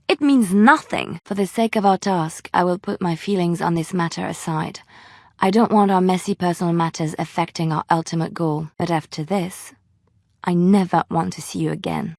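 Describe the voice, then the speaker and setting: A young adventuress from a fantasy video game, romantic and teasing. Her phrasing is soft and expressive, coy one way and earnest the other. The pitch sits mid-range, in a very dry studio sound. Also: dry recording. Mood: hurt, angry. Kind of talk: video game character dialogue.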